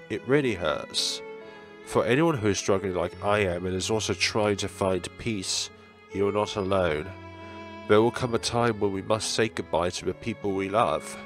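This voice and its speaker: A man talking in an earnest, reflective, halting way as a gaming channel host. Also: sits low. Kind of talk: reading visual novel text, reflective commentary.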